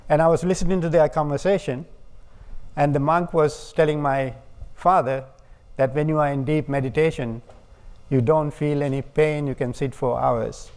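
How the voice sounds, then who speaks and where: A man from Sri Lanka giving a public lecture as a guest speaker, talking in measured, halting phrases. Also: a little high, steady tone, darkish tone, clean tone.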